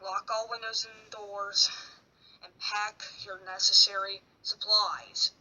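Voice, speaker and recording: Female radio announcer for a disaster drama, acted by a woman, sharp and slightly rough-edged, reading scripted bulletins. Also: medium pitch, little pitch movement, slow pace, dull audio, exaggerated voice. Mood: measured, urgent. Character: female radio announcer relaying a killing-spree warning.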